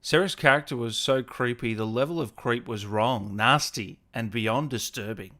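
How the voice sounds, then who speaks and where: Bright-voiced man hosting a casual interview. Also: mid-range voice, moderate pace. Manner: informal, chatty, tag questions. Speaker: podcast host, interviewer. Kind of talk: casual interview, reading out viewer messages.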